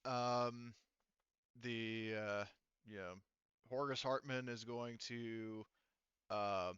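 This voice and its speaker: Guy game mastering a tabletop rpg, crisp bright voice, dry slightly dull recording. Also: medium pitch, steady tone, drawn-out words, no echo. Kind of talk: running a tabletop roleplaying session.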